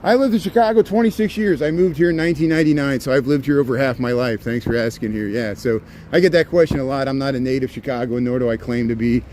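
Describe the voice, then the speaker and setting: A man's voice: mid-range voice, fast talker, hardly any pauses, a little mellow. A man in his forties from near Cincinnati, with a slight Cincinnati-Kentucky twang, giving a rambling, chatty walking-tour commentary on a city street at night and hamming it up for viewers. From near Cincinnati, US Midwest. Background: faint street and traffic noise.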